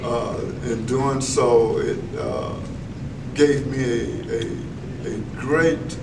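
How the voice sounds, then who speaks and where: An older man speaks slowly in a level, even tone, pausing often with fillers as he gives formal, measured answers. He sits some distance from the mic in an echoey school room. Clear background noise hums under his voice. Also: medium pitch. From Texas. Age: 70s. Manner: measured, many filler pauses, formal phrasing. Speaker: veteran school educator, interviewee. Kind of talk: reflective interview answers.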